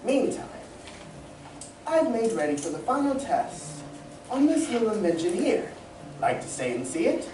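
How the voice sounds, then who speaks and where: A composed scientist of the future in an old radio serial, played by a woman, with a fairly high, lively inflected voice and a soothing, reassuring manner. It sounds distant on a stage. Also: normal speed, long pauses. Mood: calm reassuring. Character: Doctor Huer, composed great scientist of the future. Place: community theatre stage. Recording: roomy stage, distant mic, faint noise.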